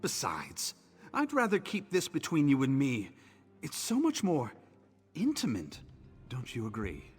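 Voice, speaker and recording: An actor playing a smarmy, flirtatious male merchant in a video game, with a husky, oily delivery. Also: medium pitch, wildly swooping pitch, measured pace, big-room sound, soft background music. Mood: seductive. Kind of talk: video game character dialogue.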